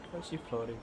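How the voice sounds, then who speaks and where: A game livestreamer of unclear sex chatting casually and sounding tired, with loud music behind the voice. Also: medium pitch, background noise.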